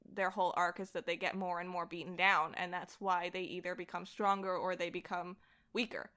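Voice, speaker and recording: A young woman with a bright, forward voice. She talks casually and animatedly, rambling through her thoughts with lots of "like", as a guest in a relaxed conversation. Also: lowish voice, fairly quick. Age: young adult. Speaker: podcast guest, voice actor. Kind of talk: casual conversation about comics.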